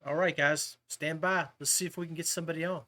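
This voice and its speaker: A man's voice: a little high, steady tone, fast, sharp tone. A man casually hosting a podcast, talking directly to his listeners, with music behind him. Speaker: podcast host.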